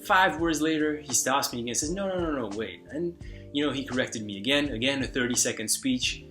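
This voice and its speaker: A man whose first language is Hungarian talks through language-learning advice in a loose, unscripted way, circling back and restarting his sentences. His voice sits at an ordinary middle pitch. Faint guitar music plays quietly under him. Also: fairly quick. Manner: relaxed, rambling, many restarts and fillers. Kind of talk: casual spoken advice.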